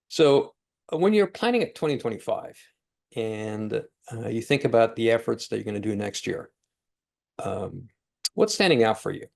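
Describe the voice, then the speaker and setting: A man hosts a webinar over a video call, speaking in a lowish, gravelly voice. He pieces his questions together haltingly, with plenty of fillers. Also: expressive. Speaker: webinar host.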